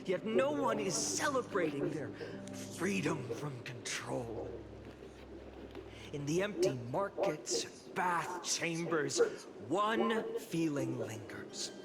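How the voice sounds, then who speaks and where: An actor playing a solemn male orator addressing a grieving city in a theatrical, measured game speech. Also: on the high side, very animated, exaggerated voice. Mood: grave, mournful. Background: loud orchestral music.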